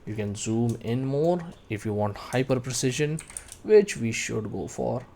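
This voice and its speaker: A man in his thirties narrating a step-by-step software tutorial, explaining each action as he does it. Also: mid-range voice, lively intonation, unhurried, creaky. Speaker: tutorial instructor.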